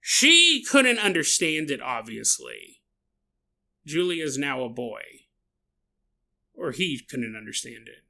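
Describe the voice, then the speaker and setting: Man, podcast host, jokey storyteller, sharp bright tone, swooping lively pitch. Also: takes it slowly, creaky, long pauses. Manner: jokey, laughs often, acts out side remarks. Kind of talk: comedic paranormal storytelling.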